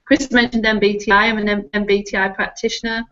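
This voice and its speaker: A woman's voice: medium pitch, little pitch movement, fast, pushed and bright. A woman from Northern England with a Northern English accent, chatting as an interview guest with frequent ums and easy laughter. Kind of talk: relaxed interview talk. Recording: slightly muffled live stream audio.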